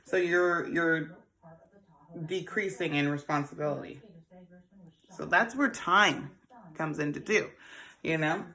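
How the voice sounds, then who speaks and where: A woman's voice: low voice, slow, long pauses, pushed and bright. A woman in her forties hosting a casual talk on saving money, chatty and laughing often, calling her listeners honey and baby. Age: 40s. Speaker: host. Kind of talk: casual financial advice talk.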